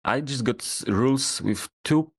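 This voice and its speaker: A man speaking English as a second language, explaining his trading in a halting way with many restarts and repeated words. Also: mid-range voice, no room sound. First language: not English. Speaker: trader guest. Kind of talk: casual call explaining charts.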